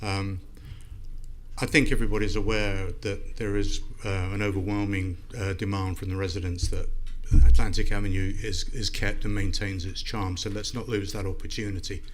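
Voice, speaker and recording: A man with a fairly low voice gives public comment as a resident. He is polite and somewhat hesitant, pausing as he goes, and there is faint noise in the meeting room. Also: flat delivery. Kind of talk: informal prepared public comment at a city meeting. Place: indoor city commission meeting room. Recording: noisy meeting-room microphone recording.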